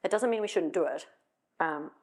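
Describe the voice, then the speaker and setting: A woman's voice: lowish voice, normal speed, a little mellow. A middle-aged woman from South Africa with a South African accent, giving a conversational talk on stage with wry asides and frequent ums. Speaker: conference speaker. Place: stage in a hall.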